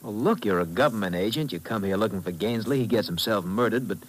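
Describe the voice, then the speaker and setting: Hesitant, lowish-voiced uneasy office man acted. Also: lightly acted, rough recording. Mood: hesitant, insistent. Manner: hesitant, halting. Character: shaken, defensive male office worker, hesitant yet insistent. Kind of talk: 1950s radio drama acting.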